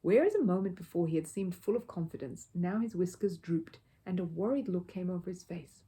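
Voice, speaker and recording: A woman reading a children's storybook aloud as an expressive narrator. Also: fairly low pitch, a little fast. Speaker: storybook reader.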